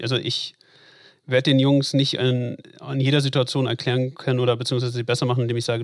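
A man's voice: mid-range voice, no room sound, clean studio sound. A man coaching an esports football team, answering interview questions at length with frequent fillers. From Germany. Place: Ruhr area.

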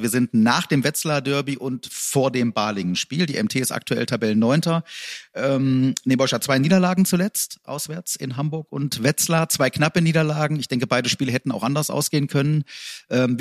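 A man hosting a sports podcast, setting up questions and encouraging his guest in a steady interviewer's manner. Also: medium pitch, fairly quick. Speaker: podcast host.

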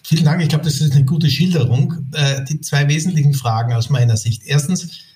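Man, interviewer, measured questions, thoughtful pauses. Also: on the high side, darkish tone.

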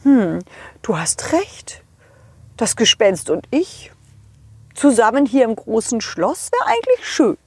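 A woman voicing a lonely, polite king of a big castle in a children's audio story, hesitant and softly thankful. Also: on the high side, wildly swooping pitch, takes it slowly, breathy edge, dry recording, full character voice. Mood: pensive.

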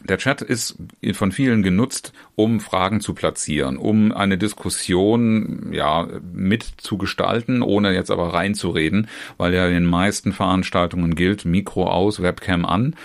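A man's voice: deep voice, a little slow, gravelly, few pauses. A man, a trainer who teaches other trainers, gives an informal talk to the listener, addressing them directly in long chained sentences with restarts and fillers. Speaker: trainer and trainer educator. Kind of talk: informal instructional talk on online seminars.

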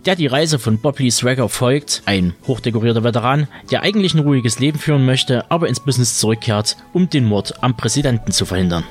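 A man hosting a podcast, reading scripted series news in a wordy, tongue-in-cheek way. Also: mid-range voice. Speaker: podcast host. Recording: music bed under the voice. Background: music.

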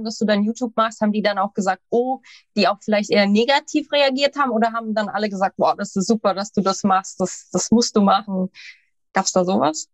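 A woman interviews her guest in an easy, casual manner and nudges him along with short affirmations. Her voice sits at a middle pitch, and the recording is dry and close. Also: dry recording. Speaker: podcast interviewer.

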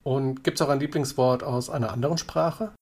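Slightly husky male interviewer. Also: mid-range voice, steady tone, moderate pace, a little mellow. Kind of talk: prepared interview questions.

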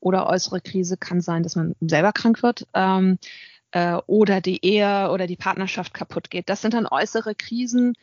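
A woman's voice: medium pitch, moderate pace, darkish tone, no echo, muffled recording. A middle-aged woman, an author and consultant, giving a thoughtful interview with frequent hesitations. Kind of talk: reflective interview talk.

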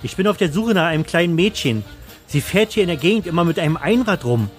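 A man's voice: on the high side, lively intonation, quick pace, faint music behind, pitched above the performer's own voice, natural voice. A man playing a dull, anxious bookkeeper in an audio story, earnest and insistent with a hesitant delivery. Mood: polite, urgent. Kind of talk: first-person audio story.

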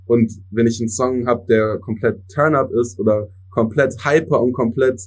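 A young man, a native English speaker, giving relaxed interview answers as a musician and mixing English words into his speech. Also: mid-range voice, normal speed. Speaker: musician guest.

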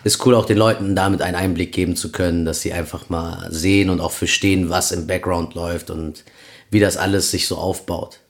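A man's voice: deep voice, fairly even intonation, fairly quick. A man in his thirties, born in Peru, talking as a guest on a casual podcast, rambling with many fillers. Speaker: guest, event promoter.

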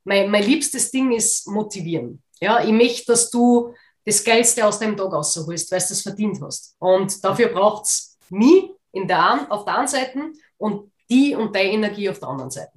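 A woman with an Austrian German accent, a motivational coach who talks in a lively, energetic way in a casual interview. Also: medium pitch, moderate pace. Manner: lively, energetic, laughs easily. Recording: roomy echoey recording.

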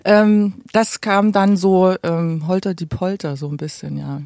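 A woman's voice: on the low side, steady tone, unhurried, a little mellow, no echo, clean studio sound. A woman in her late forties from eastern Germany, telling stories conversationally as an interview guest. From Gera, eastern Germany. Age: late 40s. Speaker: podcast guest. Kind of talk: conversational storytelling about own life.

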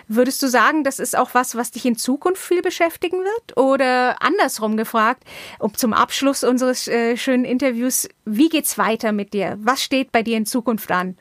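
A woman's voice: on the high side, normal speed, no room sound, studio quality. A woman hosting an interview podcast in a conversational way.

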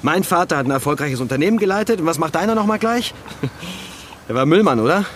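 An actor in an audio drama playing an arrogant, contemptuous, well-off husband who talks in a scornful, taunting way. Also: male voice, fairly high pitch, animated, fast talker, natural voice. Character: arrogant, contemptuous wealthy husband spitting insults at an old friend. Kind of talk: German audio drama acting. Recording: clear noise, outdoor urban ambience.

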